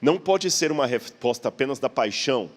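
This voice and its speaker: A man's voice: mid-range voice, animated, fairly quick. A man with a Brazilian accent preaching in a conversational, explanatory way, posing rhetorical questions with a slight stammer. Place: large church hall. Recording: long hall reverb.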